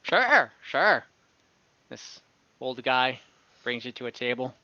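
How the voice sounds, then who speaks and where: A man running an online tabletop game as game master, rambling in a relaxed way and laughing easily. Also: medium pitch, takes it slowly, no echo. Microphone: home mic on video call. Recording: dull dry lo-fi audio.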